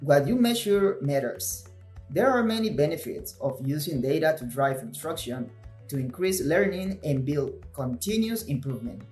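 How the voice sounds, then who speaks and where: Measured man narrating over guitar music. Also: a little high, animated, darkish tone. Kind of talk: scripted voiceover. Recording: roomy with guitar music behind.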